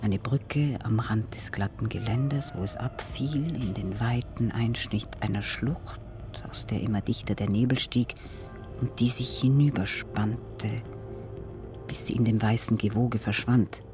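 A man reciting literary prose for a radio sound piece in a breathy, often half-whispered voice, halting phrase by phrase. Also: lowish voice, fairly even intonation, far from the mic. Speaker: reader of poetic prose in an audio piece. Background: music.

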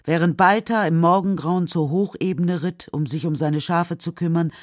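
A woman's voice: lowish voice, moderate pace, no echo. Dry, close woman storyteller. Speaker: narrator. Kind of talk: scripted storytelling narration for children's radio drama.

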